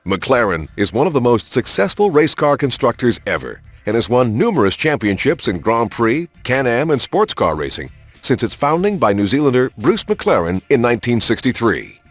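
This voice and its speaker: A man reading scripted promotional documentary narration in a polished, measured announcer style, as for a car showcase in a video game. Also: mid-range voice, big pitch swings, no room sound. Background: prominent background music.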